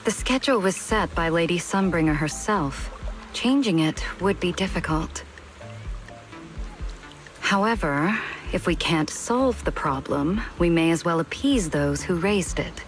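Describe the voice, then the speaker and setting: A woman voice acting a composed, dutiful department head in a video game, speaking in a measured, formal and resolute way. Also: lowish voice, lively intonation, faint music behind. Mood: calm, calculating. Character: composed, dutiful female department head, shrewd political strategist.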